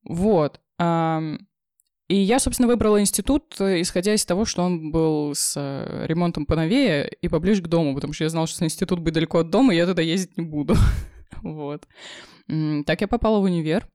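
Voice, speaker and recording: A young woman, an English teacher, telling her story in a casual chat, with many fillers and quick laughs. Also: fairly low pitch, dark tone, no echo, clean studio sound. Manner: fast, chatty, lots of fillers and laughs.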